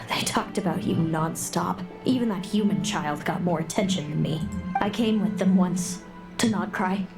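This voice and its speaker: An actress voicing a resentful, fate-weary bird-woman seer in a video game, sardonic and sighing. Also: lowish voice. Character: bitter, resentful older sister, fey bird-woman seer. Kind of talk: scripted video game voice acting. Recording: background music under the voice.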